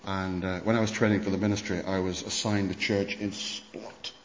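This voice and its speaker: A man preaching at a conference, telling church history stories in an animated way with many restarts. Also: sits low, moderate pace, slight background noise. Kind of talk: sermon lecture on church history. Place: conference hall. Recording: muffled hall recording with long reverb.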